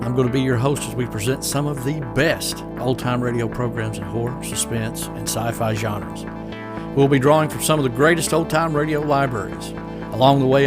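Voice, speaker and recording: A man hosting a podcast, reading scripted introductions and promotional copy. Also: mid-range voice. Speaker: podcast host. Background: faint music.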